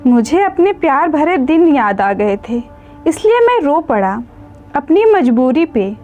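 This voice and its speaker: A woman's voice: high-pitched, big pitch swings, unhurried, darkish tone, lo-fi sound. A woman voicing the sorrowful, lovelorn ghost of a dead husband in a story, wistful and tender. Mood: mournful, resigned.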